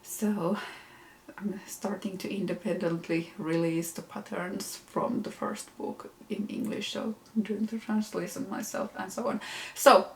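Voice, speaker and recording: A woman's voice: mid-range voice, creaky. A woman from Finland, a native Finnish speaker, chatting casually to camera as host of her knitting show, with frequent ums and self-corrections. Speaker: knitwear designer and podcast host.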